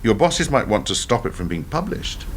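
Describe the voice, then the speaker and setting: A man acting as a defiant, embittered ex-prime minister in a radio play, speaking in a deliberate, rhetorical, self-justifying manner. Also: mid-range voice, big pitch swings, slight background noise, close to an everyday voice. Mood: grave. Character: defiant, embittered male ex-prime minister telling his story.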